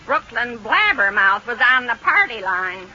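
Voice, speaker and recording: An actress playing a sensible maid and companion in 1940s radio comedy. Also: female voice, a little high, big pitch swings, a little slow, sharp tone, exaggerated voice. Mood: uneasy, weary. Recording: muffled old radio recording with faint noise.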